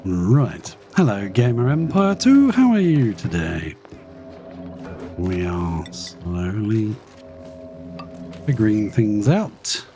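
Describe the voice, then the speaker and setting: A man casually commenting on his live gameplay, chatty and thinking aloud as he plays, his voice slightly croaky after being ill. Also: fairly low pitch, big pitch swings, slow pace, long pauses, warm tone, soft background music. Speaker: live streamer.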